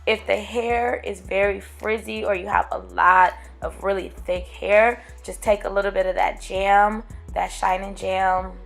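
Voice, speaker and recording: A young woman, raspy from getting over a cold, chatting casually to her viewers as she explains braiding her own hair step by step, recorded close to a camera at home. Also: mid-range voice. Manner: chatty, addresses viewers as guys and girl. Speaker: hair tutorial vlogger. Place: small room at home, indoors.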